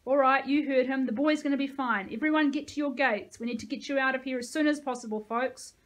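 A woman voicing a tough, motherly grey-haired army reservist with a gravelly voice and folksy manner, in an audiobook. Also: on the high side, steady tone, brisk, close to an everyday voice. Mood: commanding, loud. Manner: bellowing orders, folksy endearments.

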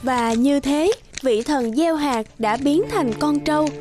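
Lady storyteller for kids cartoon, high voice, loud music all under her. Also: slow pace. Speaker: narrator. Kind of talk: scripted children's fairy-tale narration.

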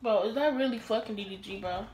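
A young American woman chatting casually in an animated, brash way, swearing heavily as she banters with friends. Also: fairly high pitch, fast talker, reverberant, a little hiss or hum. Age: young adult. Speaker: vlogger. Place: small home room.

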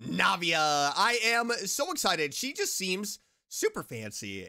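A reaction streamer gushing over a game trailer with loud exclamations and frequent laughter. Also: medium pitch. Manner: excitable, laughs often, exclaims loudly. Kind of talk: casual reaction commentary.

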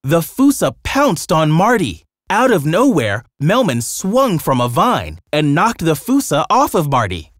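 A man's voice: on the high side, huge pitch swings, measured pace, few pauses, dry recording, clean studio sound. A man narrating a scripted children's story with dramatic storytelling delivery.

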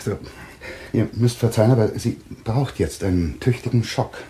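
An actor playing a stern, brisk doctor in a German thriller radio play, authoritative and measured. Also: male voice, lowish voice, dark tone, slight background noise, lightly acted. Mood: matter-of-fact.

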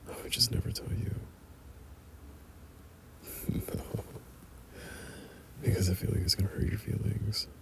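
A young man playing an affectionate boyfriend in intimate ASMR roleplay, breathy and half-whispering, speaking hesitantly. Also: lowish voice, completely flat delivery, background noise. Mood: playful, affectionate. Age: young adult. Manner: slow, hesitant, soft chuckles between phrases. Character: tender, affectionate young boyfriend talking to his partner. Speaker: audio roleplay performer.

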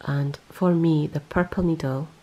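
A woman's voice: low-pitched, fairly even intonation, measured pace, dark tone, highs cut off. A woman talking casually over close-ups of her knitting, rambling and correcting herself with lots of ums. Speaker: knitting vlogger. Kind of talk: casual show-and-tell. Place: indoors, close-up on hands and yarn.

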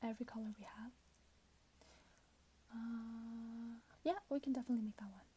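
A young woman in her twenties doing soft-spoken ASMR roleplay, often whispering, with a gentle, hesitant, polite customer-service manner. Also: a little high, steady tone, normal speed. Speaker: ASMR creator playing a robot companion clerk. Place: small plain room indoors. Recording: hissy background noise, keyboard typing. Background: keyboard typing and clicks.

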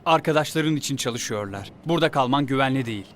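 A man playing a scheming, deceitful villain in an animated series dub, with an insinuating manner. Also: mid-range voice, fast talker, a little hiss or hum, lightly acted. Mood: manipulative. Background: music.

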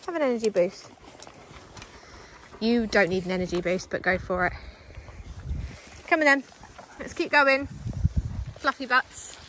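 A woman's voice: lively intonation, a little slow, long pauses, sharp tone. Chatty woman vlogging outdoors over noise. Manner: chatty, coaxing her dogs in a high sing-song voice. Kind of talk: casual vlog narration while riding. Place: forest track outdoors, on an electric bike.

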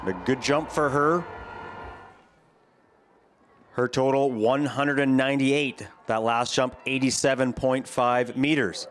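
A man giving measured play-by-play commentary on a ski jumping competition, speaking as a voice-over. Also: mid-range voice, a little hiss or hum. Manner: calm, measured play-by-play, lifting on big jumps. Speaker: sports commentator.

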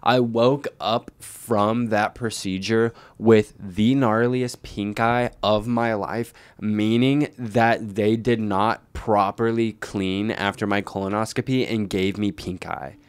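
Low, smooth-voiced young American man. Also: steady tone, slow, few pauses, no echo. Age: young adult. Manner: slangy, heavy use of "like" and swearing. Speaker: podcast co-host. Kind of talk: casual comedic chat. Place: living room indoors, by a fireplace. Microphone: handheld microphone held close.

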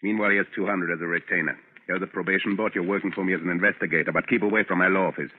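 Casting: male actor as cool, menacing crooked attorney, rumbling deep, on a scratchy vintage radio serial. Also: steady tone, rapid-fire, close to an everyday voice. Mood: threatening. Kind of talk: 1930s radio drama acting. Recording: scratchy, muffled, narrow-band 1930s radio.

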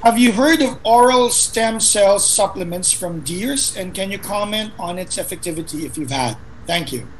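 A moderator on a video call, reading out audience questions with hesitant pauses. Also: mid-range voice, animated, a little hiss or hum, poor audio. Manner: hesitant, many uh fillers.

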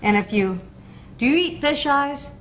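A woman's voice: high voice, animated, unhurried, a little hiss or hum, rough recording. A woman hosting a cooking show, eagerly asking her guest questions in casual chat.

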